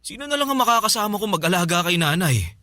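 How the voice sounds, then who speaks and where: A pleading, higher-voiced, remorseful partner. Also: male voice, sing-song, fast talker, bright, forceful tone, no room sound, studio quality, exaggerated voice. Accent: Filipino. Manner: imploring, apologetic. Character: pleading, remorseful live-in partner, father back from abroad. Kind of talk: Filipino radio drama acting. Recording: music bed under the voice.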